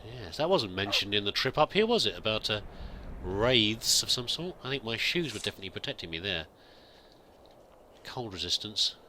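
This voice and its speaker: A man's voice: lowish voice, very animated, moderate pace, sharp tone, slight background noise. A man hosting a roleplay let's play, chatting and musing aloud and reading in-game text as he plays.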